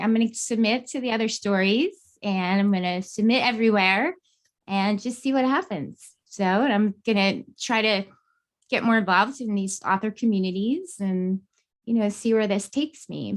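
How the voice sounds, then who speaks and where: Earnest woman chatting casually. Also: mid-range voice. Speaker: writing course graduate, guest. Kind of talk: casual chat.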